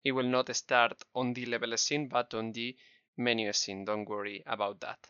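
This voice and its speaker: A man's voice: medium pitch, level tone, normal speed, bright, forceful tone, smooth, dry recording. A man with a Spanish accent narrating a step-by-step game development tutorial in a friendly, encouraging way. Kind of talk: screen-recorded tutorial narration. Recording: dull, slightly lo-fi close mic.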